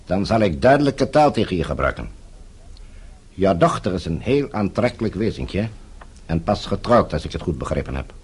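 A husky, smug, menacing villain. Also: male voice, deep voice, big pitch swings, normal speed, darkish tone, exaggerated voice. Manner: suave, coolly threatening. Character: menacing, smug male foreign embassy villain. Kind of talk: radio play acting.

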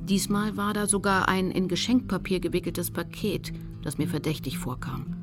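A woman playing a determined activist who recounts her memoir in measured, factual radio drama narration. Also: lowish voice, music in the background, lightly acted. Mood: sober, resolute. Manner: measured, factual, literary reading.

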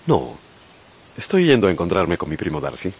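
An affable gentleman colonel in a radio drama. He has a very deep voice with a breathy rasp. His talk is courteous, chatty and unguarded. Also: wildly swooping pitch, brisk, full character voice. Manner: courteous, conversational.

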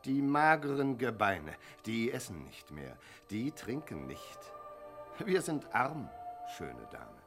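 A man's voice: medium pitch, very animated, takes it slowly. An actor playing a bitter, pitiful poor man in a poetic radio play, declaiming plaintively. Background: music.

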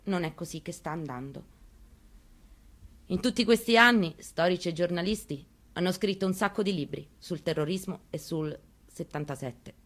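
A woman's voice: mid-range voice, close to an everyday voice. A woman performing a dramatic monologue reading as a haunted, plainspoken mother recounting her story. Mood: tense, anguished, then reflective. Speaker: actress in a staged reading.

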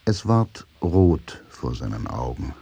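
Slow, deep-voiced male drama narrator. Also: big pitch swings, warm tone, slightly rough. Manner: measured, brooding, intense. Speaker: narrator of a radio play.

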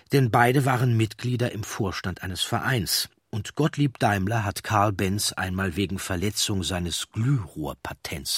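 A man reading scripted documentary narration in a measured, deliberate way. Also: lowish voice, slightly rough, no room sound, clean studio sound.

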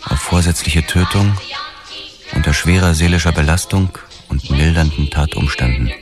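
An actor playing a disillusioned police detective, narrating a noir radio drama in a sober, matter-of-fact way. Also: male voice, a bass voice, normal speed. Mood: bitter, resigned. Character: disillusioned, hard-boiled male police detective telling his story. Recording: loud music under studio narration. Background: music.

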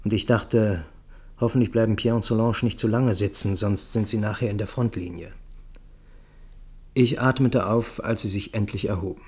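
An actor playing an observant man recounting events in the first person in a radio play, measured and sober. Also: on the low side, steady tone, darkish tone, slightly rough. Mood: sober, watchful. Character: observant male friend recounting a tense night in Paris.

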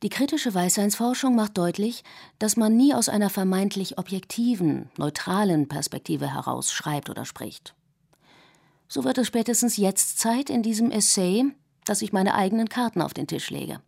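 A woman in her forties, a native English speaker raised in Germany, reading her own radio essay in a measured, reflective way. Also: mid-range voice, lively intonation, creaky, a little mellow. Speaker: essay author and narrator. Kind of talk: scripted radio essay narration.

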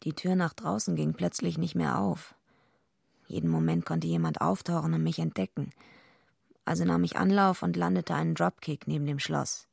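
A naive, tough young woman wrestler in a radio drama, with a lowish voice and a gravelly creak. She talks plainly and slangily, always justifying herself, in a dry studio sound. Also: fairly even intonation, normal speed, a little mellow, no room sound, exaggerated voice. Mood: wry, tense narration. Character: naive, tough young female wrestler narrating her misadventures. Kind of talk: radio drama acting and first-person narration.

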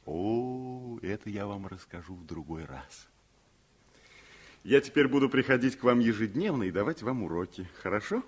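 Animated, husky young student tutor. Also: male voice, fairly low pitch, moderate pace. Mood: reflective, friendly. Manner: courteous, animated. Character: friendly student tutor, playful with children. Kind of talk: 1950s Soviet radio play acting.

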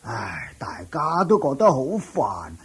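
A man playing a respectful, troubled elderly devotee seeking advice in a Cantonese radio drama, halting and sighing, with a Hong Kong accent. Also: mid-range voice, wildly swooping pitch, slightly rough, exaggerated voice. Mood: respectful, worried.

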